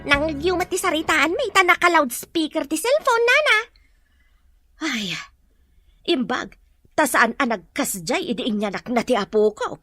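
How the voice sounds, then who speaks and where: Animated, high-voiced gossipy village woman played by an actress. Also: normal speed, faint music behind, exaggerated voice. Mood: scandalized. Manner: exclaiming, questioning, gossipy. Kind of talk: Filipino Ilocano audio drama acting.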